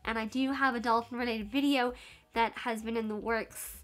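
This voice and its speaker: A woman's voice: a little high, steady tone, moderate pace, faint music behind. Twenties woman, Irish accent worn by London, casual vlogger, filler-heavy and giggly, seated at home. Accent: Irish, softened by years in London. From Ireland and Belgium. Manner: chatty, giggly, lots of fillers. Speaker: YouTuber. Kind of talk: casual sit-down vlog. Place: bedroom at home.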